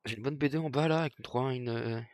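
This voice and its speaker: A man's voice: medium pitch, quick pace, a little mellow, dry recording. A man born in Iran, chatting casually during an online strategy game, directing his team and swearing freely. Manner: bossy, swears casually, calls teammates "frère". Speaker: gamer.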